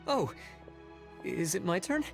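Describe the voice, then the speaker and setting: Timid, halting civil servant. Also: male voice, high voice, very animated, vocal fry, over music, exaggerated voice. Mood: nervous, halting. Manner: hesitant, halting, apologetic, over-precise. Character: timid, meticulous male civil servant, awkward and nervous. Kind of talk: video game voice acting.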